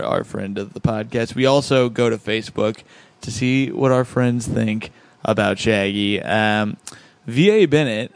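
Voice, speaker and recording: A man's voice: mid-range voice, no room sound. A man hosting a podcast, reading out listener comments in a laid-back, chuckling way. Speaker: podcast host.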